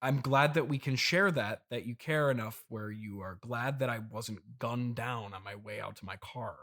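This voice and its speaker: A man's voice: medium pitch, normal speed, a bit bright, lightly acted. A man playing an exasperated but indulgent trillionaire CEO father who hosts a comedy interview, patient and incredulous, often repeating himself. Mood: dry, placating. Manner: patient, incredulous, hesitant repetitions. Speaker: podcast host.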